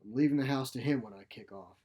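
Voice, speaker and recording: A man's voice: medium pitch, fast talker, mellow, close to an everyday voice. Man voicing a feisty, blunt old mother. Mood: frank, scornful. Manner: blunt, folksy, grumbling. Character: feisty, sharp-tongued elderly widowed mother, tired old biddy. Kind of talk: short story character reading.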